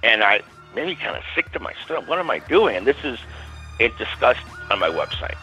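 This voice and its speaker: An older male parapsychologist telling stories as a radio guest, lively, acting out quoted voices. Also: medium pitch, fairly quick, soft background music. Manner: animated, acts out quoted voices. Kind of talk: storytelling in a radio interview. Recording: muffled radio audio.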